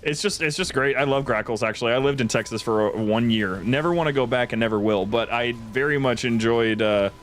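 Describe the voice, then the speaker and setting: A man hosting a gaming livestream with casual, jokey commentary that runs to excitable exclamations. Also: mid-range voice, brisk, few pauses. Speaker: livestream host playing a game.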